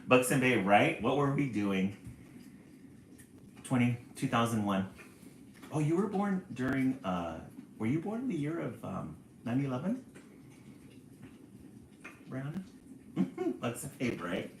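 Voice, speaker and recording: A man's voice: mid-range voice, sing-song, slow, gravelly, long pauses, slight background noise. A man in his forties hosting a casual live stream, chatting and reading out viewer comments. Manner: chatty, reads viewer comments aloud, laughs often. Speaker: livestream host. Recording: roomy echo.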